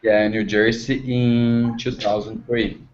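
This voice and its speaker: A man with a non-native English accent, learning English and speaking in halting, simple phrases. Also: mid-range voice, flat delivery. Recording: dull lo-fi online call.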